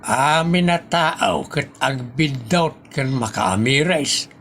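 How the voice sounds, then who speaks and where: An exasperated family father acted by a man in a comedy radio play, with a northern Philippine accent. His fairly high voice is full of gravelly creak, and he scolds slowly and with emphasis. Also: fairly bright tone, faint music behind. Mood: admonishing. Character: exasperated, admonishing husband and father. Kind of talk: Ilocano comedy radio drama acting.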